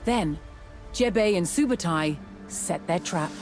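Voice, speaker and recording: A woman delivering scripted documentary narration in a measured, dramatic storytelling manner. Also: lowish voice, animated. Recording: music under the voice. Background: background music.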